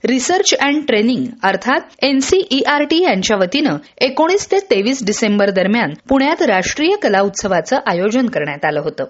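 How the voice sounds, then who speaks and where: Lively, higher-pitched woman reading radio news. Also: highs cut off. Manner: formal, measured reading. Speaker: newsreel presenter. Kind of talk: scripted radio news narration.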